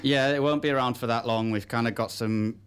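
A man speaks as a guest on a breakfast radio show at a medium pitch. He explains things in a laid-back, unhurried way and uses lots of fillers. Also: steady tone, clean tone. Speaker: coffee roaster guest. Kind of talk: casual radio interview.

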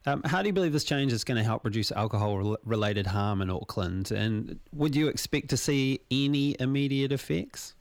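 A man's voice: mid-range voice, expressive, normal speed, few pauses, no echo. New Zealand man hosting a radio chat. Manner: conversational, hesitant with fillers when asking questions. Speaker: radio host. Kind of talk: radio interview.

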